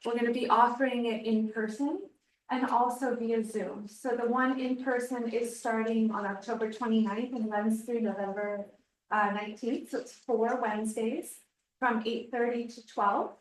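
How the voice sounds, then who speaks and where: A woman's voice: a little high, fairly even intonation, moderate pace. A woman social worker and care manager announcing a program, hesitant with frequent ums. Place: seminar room. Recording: roomy, from far off the mic.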